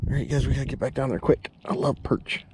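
A young man filming himself ice fishing outdoors on a frozen lake, chatting excitedly to viewers about each fish. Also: mid-range voice, background noise. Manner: excited, chatty, quick to laugh. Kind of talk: casual play-by-play to viewers. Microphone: head-mounted action camera.

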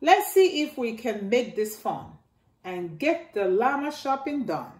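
A middle-aged woman reading a rhyming picture book aloud to children in a slow sing-song way, filmed indoors at home by a camera a few feet away. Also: fairly high pitch, sing-song, a little mellow. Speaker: storytime reader. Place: living room by a staircase, indoors. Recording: echoey room.

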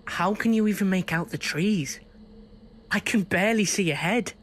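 A man's voice: sits high, moderate pace, fairly bright tone. Casting: male actor for complaining urban lad out of his element, audio drama, everyday delivery. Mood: uneasy, complaining. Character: grumbling city boy out of his element.

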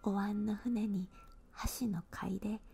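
A woman voicing a tiny, brave, cheerful little boy in an eager, polite breathy whisper for an ASMR storybook, close to a handheld recorder. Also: medium pitch, fairly even intonation, slow pace, dark tone, soft background music.

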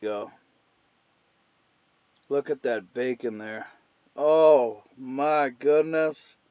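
A man's voice: medium pitch, very slow, mellow, clean tone. A man in his thirties hosting a rowdy, goofy cooking livestream in a small kitchen, exuberant and given to whooping. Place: small camper kitchen, indoors.

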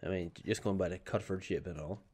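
A man in his thirties with a slightly raspy voice, talking on a headset mic as he plays a horror game and teases the characters. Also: deep voice, steady tone, quick pace, darkish tone. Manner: joking, teasing game characters. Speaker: gameplay streamer. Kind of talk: casual let's-play commentary.

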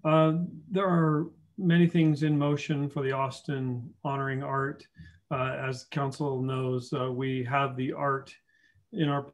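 A man's voice: medium pitch, measured pace. A man on city staff giving council a methodical project update, with frequent uh fillers. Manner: steady, methodical, with many uh fillers.

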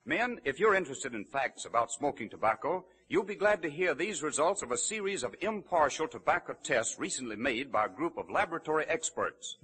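Bright, husky 1930s radio announcer man. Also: a little high, lively intonation, brisk, few pauses, rough recording. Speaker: radio announcer and sponsor pitchman. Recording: husky-sounding vintage 1930s radio broadcast.

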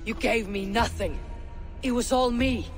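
An actress playing a resolute, loyal Viking warrior woman in a video game, with a solemn, deliberate and firm delivery. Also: on the high side, fairly even intonation, over music. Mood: defiant. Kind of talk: dramatic video game acting.

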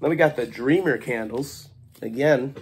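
A man's voice: mid-range voice, normal speed. Laid-back man with a stuffy cough. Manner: laid-back, swears freely, scolds his cat. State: congested with a cough. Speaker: fireworks vlogger. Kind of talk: casual unboxing vlog. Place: small kitchen at home, indoors.

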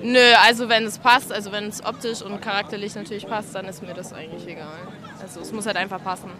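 A woman's voice: mid-range voice, steady tone, fast talker, some chatter behind. A nineteen-year-old woman from Munich answering a street interview, hesitant and full of fillers. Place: shopping street at night, outdoors. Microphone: handheld mic held by interviewer. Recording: street with loud music behind.

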